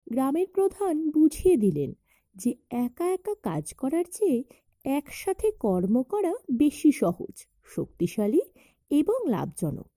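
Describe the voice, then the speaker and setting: Woman storyteller with highly animated, high pitch. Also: husky, no echo, studio quality. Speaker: children's story narrator. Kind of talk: scripted narration of fables for children.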